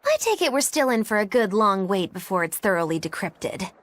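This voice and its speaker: A woman's voice: mid-range voice, very animated, fairly quick, natural voice. A woman playing a formal, precise adventurer reasoning things out in a video game cutscene. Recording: game music behind voice.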